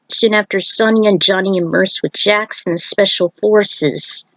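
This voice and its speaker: A woman's voice: mid-range voice, dry recording, rough recording. A woman reading a fan fiction story aloud as an amateur narrator.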